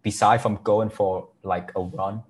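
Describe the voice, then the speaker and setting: Male podcast host, native Vietnamese speaker with Vietnamese accent, deep voice, informal conversational delivery. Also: flat delivery, measured pace. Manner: informal, non-native grammar. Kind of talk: casual chat.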